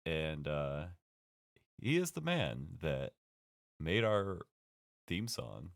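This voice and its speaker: Casual podcast co-host, deep-voiced man, sniffling throughout, lively swings in his delivery, dry close sound. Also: extremely slow, vocal fry. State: sniffling with a runny nose. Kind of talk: casual chat.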